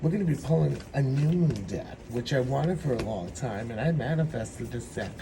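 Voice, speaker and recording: A man with a hoarse, troubled throat giving a meandering, reflective spiritual tarot reading. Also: mid-range voice, animated, background noise. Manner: meandering, reflective, slow-spoken. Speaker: tarot reader.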